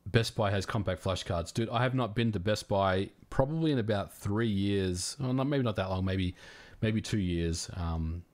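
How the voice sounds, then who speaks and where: Man, Australian accent, somewhat low voice, livestream host, casual friendly chat, lots of fillers. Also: moderate pace. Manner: relaxed, friendly, frequent fillers and restarts. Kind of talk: casual livestream chat reading viewer questions.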